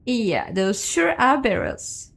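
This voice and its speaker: A woman's voice: a little high, big pitch swings, slow, creaky, fairly bright tone. A woman giving casual playthrough commentary on a game, musing aloud and questioning herself. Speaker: game streamer and narrator.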